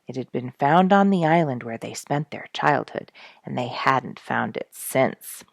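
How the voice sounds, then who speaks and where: A woman reading a children's animal story aloud in an expressive, dramatic storyteller's manner. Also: very deep voice, measured pace, gravelly, dry recording, studio quality. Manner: dramatic, rhetorical questioning delivery.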